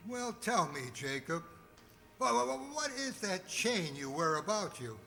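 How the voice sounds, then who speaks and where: A man playing a frightened, crotchety old miser in a radio play, stammering and fretting in a halting, theatrical way. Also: sits high, big pitch swings, gravelly, bright, forceful tone, exaggerated voice. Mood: timid, frightened. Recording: long reverb with music behind.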